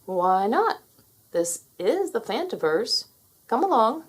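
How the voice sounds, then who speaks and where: A woman voicing a patient, wry male magic dragon guide in a children's storybook, theatrical and gently teasing. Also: fairly high pitch, sing-song, slow, husky, voice pushed up, full character voice. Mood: playful, grand. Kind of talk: children's storybook character read aloud.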